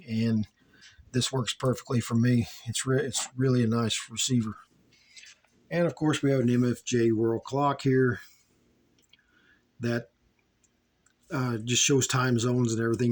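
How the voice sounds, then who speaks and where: A man giving a casual, unscripted tour of his hobby setup, plainspoken and halting, often repeating himself. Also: medium pitch, darkish tone. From United States. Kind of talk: casual unscripted show-and-tell. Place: small home radio room.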